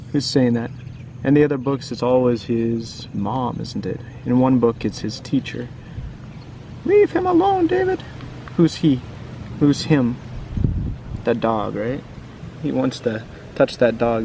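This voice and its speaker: Gravelly man reading casually to kids. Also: medium pitch, steady tone, a little slow, mellow, a little hiss or hum. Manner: informal, asks the listener frequent questions and tag questions. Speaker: children's book reader and teacher. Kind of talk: casual read-aloud, chatting to young viewers.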